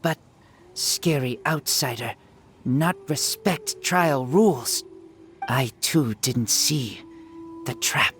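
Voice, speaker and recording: A man voicing an earnest, blunt teenage boy raised by wolves in a video game, speaking in halting, terse broken phrases. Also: mid-range voice, fairly bright tone, soft background music. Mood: earnest, subdued.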